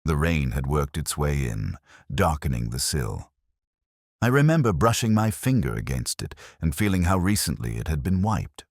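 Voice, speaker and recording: Man acting as older male detective, radio drama narration, very deep voice, dry close sound, grave, wry, cerebral, measured. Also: big pitch swings, no room sound, heavily acted. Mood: brooding, reflective. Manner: measured, reflective, literary, grave. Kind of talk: scripted first-person mystery narration, radio drama.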